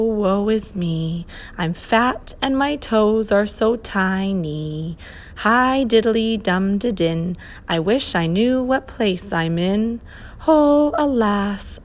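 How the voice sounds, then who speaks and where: A woman's voice: medium pitch, natural voice. A woman voicing a vague, forgetful pear-shaped male creature in a storybook reading, with an absent-minded, mournful sing-song.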